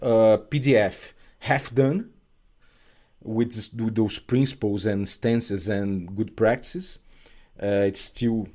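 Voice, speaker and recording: Halting Brazilian man discussing game design. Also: mid-range voice, a little mellow. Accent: Brazilian Portuguese. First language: Portuguese. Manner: halting, many restarts and fillers. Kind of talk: casual podcast conversation.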